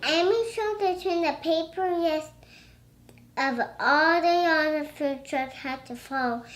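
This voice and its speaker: A young girl reading a children's storybook aloud, halting and stumbling over words. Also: sits high, fairly bright tone. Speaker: young reader learning to read aloud.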